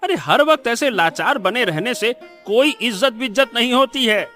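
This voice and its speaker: A man voicing a greedy, mocking elder brother in a cartoon story, scolding and taunting with drawn-out jeers. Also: extremely high pitch, lively intonation, pushed and bright, faint music behind, exaggerated voice. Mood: scornful scolding. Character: greedy, mocking elder brother who swindles his sibling. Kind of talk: Hindi cartoon moral story acting.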